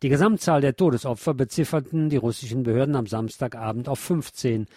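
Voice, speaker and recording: A man presenting a news programme, reading commentary aloud in a measured, formal way. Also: medium pitch, dark tone, no room sound, clean studio sound.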